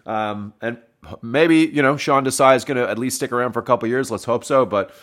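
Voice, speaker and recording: A man reviewing a football game on his own, hoarse and wheezy, coughing and correcting himself as he talks to his listeners. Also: mid-range voice, fairly quick, fairly bright tone. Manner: rambling, self-correcting, addresses listeners as folks. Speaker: sports podcast host.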